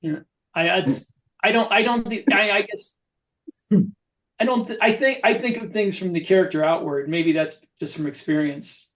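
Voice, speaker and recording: A man's voice: high-pitched, normal speed, a bit bright. A man musing aloud in casual chat as a podcast co-host.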